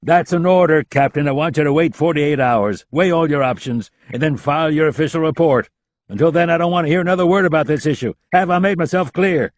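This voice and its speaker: A man's voice: on the low side, fairly quick, a little mellow, no echo, lightly acted. A man doing a firm, commanding male official. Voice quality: firm, authoritative.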